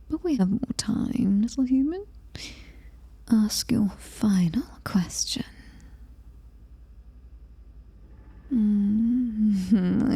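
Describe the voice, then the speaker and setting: A British woman playing a coldly superior, menacing alien-human hybrid in a whispered ASMR horror roleplay, breathy, deliberate and taunting. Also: medium pitch, warm tone, heavily acted. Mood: ominous, condescending.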